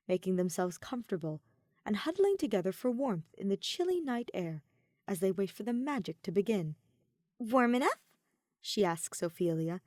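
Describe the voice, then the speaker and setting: A woman's voice: mid-range voice, a little mellow, dry recording, studio quality. A woman reading a scripted story aloud as an audiobook narrator. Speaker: audiobook narrator of fan fiction.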